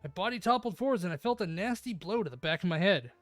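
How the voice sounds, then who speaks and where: A woman narrates a visual novel for a stream, slipping into casual, playful chat. Her pitch moves in a lively way, and faint music sits underneath. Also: fast, no room sound. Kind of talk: expressive read-aloud narration with casual chat.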